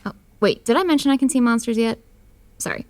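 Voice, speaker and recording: A woman's voice: a little high, fast, darkish tone, lightly acted. A young woman playing a sardonic loner mortician in an audio drama, with chatty asides to the listener. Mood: flustered, sardonic. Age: young adult. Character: wry young woman mortician, loner who sees monsters. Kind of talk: first-person audio drama narration and dialogue.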